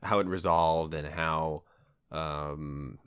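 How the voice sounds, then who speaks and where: A man's voice: a bass voice, steady tone, slow, a bit bright, smooth, no room sound, studio quality. A man hosting a podcast in casual conversation, talking in a hesitant, rambling way that keeps qualifying itself. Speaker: podcast host. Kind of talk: casual chat about comics.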